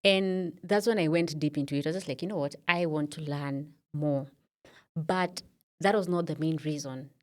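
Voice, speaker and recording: A woman's voice: low voice, moderate pace, darkish tone. A Kenyan woman around thirty, a podcast guest in a studio, telling her story in a reflective way with many fillers. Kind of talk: casual interview talk.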